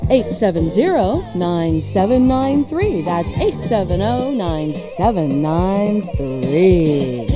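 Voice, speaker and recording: Sultry woman radio host with swooping pitch. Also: big pitch swings. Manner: sultry, playful, drawn-out delivery. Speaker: radio show host and ad reader. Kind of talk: live-read radio ad patter. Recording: music behind the voice. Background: music.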